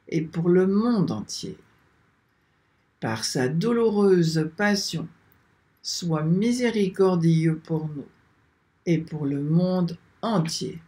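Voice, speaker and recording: An older man leading a slow prayer, reciting repeated invocations in a steady, measured chant, filmed by a webcam in a small room. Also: a little high. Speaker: prayer leader.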